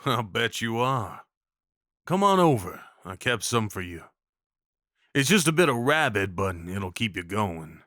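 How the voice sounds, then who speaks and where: A man's voice: lowish voice, big pitch swings, unhurried, sharp tone, no echo, exaggerated voice. Man playing a folksy Old West cowboy, close and dry. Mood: gentle, reassuring. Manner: folksy, reassuring, informal. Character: kindly rough-spoken Old West cowboy guide, protective and awkward. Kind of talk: intimate audio roleplay acting.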